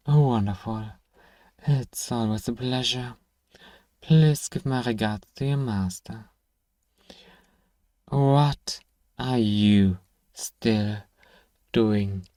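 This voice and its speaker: Man acting a slow, exasperated fantasy apothecary. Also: medium pitch, dark tone, dry recording, natural voice. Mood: businesslike, then angry, threatening. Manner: stern, sardonic, exasperated sighing. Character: irritable, sarcastic, exasperated fantasy apothecary shopkeeper, grudgingly caring. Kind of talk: ASMR roleplay acting.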